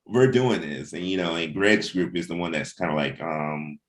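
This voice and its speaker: A man's voice: sits low, normal speed, a little hiss or hum. A man in a casual creative discussion as a writer and host, rambling and often saying "you know". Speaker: comic book writer hosting a table read discussion.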